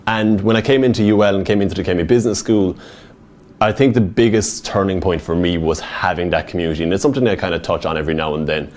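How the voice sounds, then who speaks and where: A young Irish man with a broad rural West Limerick accent talks quickly and eagerly to prospective students, now and then dropping in an um. His voice sits low, and his delivery stays earnest throughout. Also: darkish tone. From Ireland. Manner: earnest, enthusiastic, with filler ums. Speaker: university student. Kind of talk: open day talk to prospective students.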